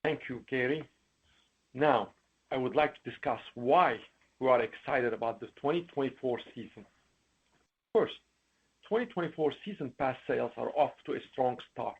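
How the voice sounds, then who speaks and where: A man's voice: medium pitch, normal speed, husky. A man in an executive role reading prepared business remarks formally on an earnings call. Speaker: company chief executive. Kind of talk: scripted earnings call presentation. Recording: dull, lo-fi conference call audio.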